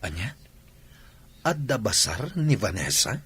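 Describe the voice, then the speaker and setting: A man playing a scheming, smitten insurance manager in a radio drama, with animated delivery. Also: fairly low pitch, unhurried, husky, no room sound, full character voice. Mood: surprised, flirting.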